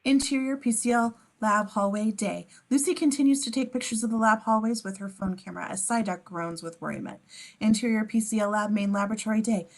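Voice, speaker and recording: A woman's voice: medium pitch, a little fast. A woman narrating as scene description reader, reading screenplay stage directions aloud at a table read.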